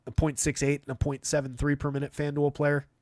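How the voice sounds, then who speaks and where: A man's voice: a little high, monotone, brisk, a little mellow, dry recording. A man hosting a live fantasy basketball stream, talking through analysis off the cuff in a conversational, dry way. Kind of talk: live unscripted sports analysis with chat replies.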